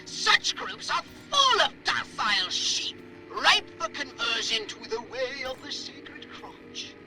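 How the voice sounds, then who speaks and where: A man voicing a pompous, excitable alien commander in a video game, speaking in a grandiose, theatrical, wordy way. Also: extremely high pitch, slow pace, over music, heavily acted, poor audio. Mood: instructive. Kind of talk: video game cutscene acting.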